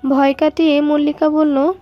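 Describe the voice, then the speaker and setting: A woman's voice: high voice, flat delivery, moderate pace, highs cut off, soft background music. A woman reading scripted story narration.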